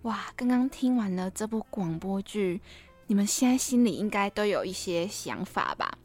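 A woman's voice: medium pitch, sing-song, moderate pace, a little mellow, soft background music. A woman with a Taiwanese Mandarin accent hosting a conversational podcast interview. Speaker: podcast host.

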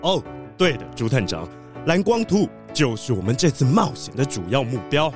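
A man playing an excitable, fretful treasure collector leading an expedition, fussy and dramatic, in a children's audio drama. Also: mid-range voice, moderate pace, over music, natural voice. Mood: earnest, fretful.

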